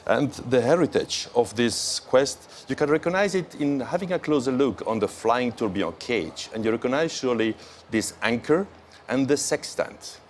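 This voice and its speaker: A man presenting watches on a morning show, speaking carefully and admiringly with pauses between phrases. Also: medium pitch, a little hiss or hum. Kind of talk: live show product walkthrough.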